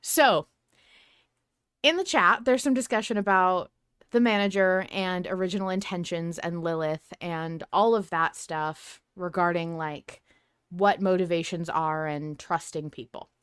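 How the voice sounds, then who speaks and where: A woman's voice: on the low side, measured pace, fairly bright tone, clear, resonant tone, no room sound, clean studio sound. A woman hosting a podcast, talking through ideas in a casual, informal and emphatic way. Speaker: podcast host. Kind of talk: casual fan discussion podcast.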